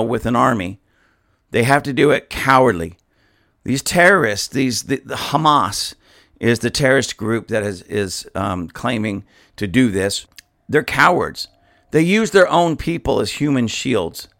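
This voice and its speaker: A man, a pastor, giving impassioned podcast commentary with an indignant, emphatic, repetitive manner and a hoarse, dusty throat. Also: mid-range voice, fairly bright tone.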